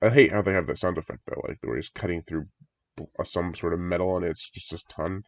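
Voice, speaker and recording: Congested low-voiced man reacting to a trailer. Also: fairly even intonation, quick pace, no room sound. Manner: enthusiastic, rambling, chuckles often. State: congested from a recent cold. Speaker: horror movie reviewer. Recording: dry, dull lo-fi home recording.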